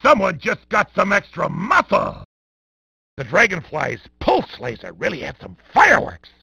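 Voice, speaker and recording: An actor voicing a bombastic, wisecracking, gleefully violent sports announcer in a video game, with a gravelly, raspy voice and hammy delivery. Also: male voice, high voice, huge pitch swings, measured pace, pushed and bright, no room sound, heavily acted. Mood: wry, gloating. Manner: hammy, wisecracking, often bellowing. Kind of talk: over-the-top sports commentary for a video game.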